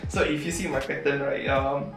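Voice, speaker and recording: A man's voice: mid-range voice, steady tone, normal speed, creaky. A man from Indonesia with an Indonesian accent, chatting casually as an interview guest in a chatty, easily amused manner. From Palembang, Indonesia. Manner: chatty, often chuckling as he talks. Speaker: interview guest, origami artist. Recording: roomy echo, distant mic, faint music.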